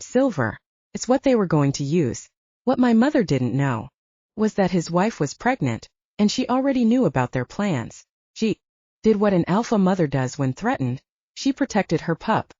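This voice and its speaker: A woman as young female werewolf soldier, swooping pitch. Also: warm tone, no echo. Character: young female werewolf soldier, candid, self-mocking, chatty. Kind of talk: audiobook character dialogue. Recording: dull, lo-fi sound.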